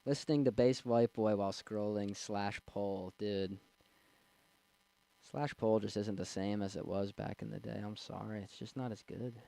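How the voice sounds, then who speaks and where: A man's voice: fairly even intonation, moderate pace, gravelly, dark tone. Young man rambling on a livestream. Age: 20s. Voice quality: young, slightly squeaky voice. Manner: rambling, with fillers and restarts. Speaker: streamer reading chat. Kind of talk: casual livestream chat. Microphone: desk mic.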